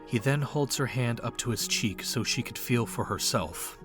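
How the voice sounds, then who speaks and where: A man's voice: medium pitch, moderate pace, over music. A man reading scripted third-person narration as the storyteller of an audio drama.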